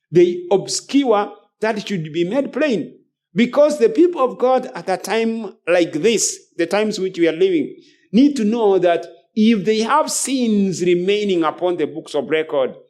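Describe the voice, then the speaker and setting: High-pitched male preacher, earnest and admonishing. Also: darkish tone. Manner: earnest, emphatic repetition and admonishing. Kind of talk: sermon.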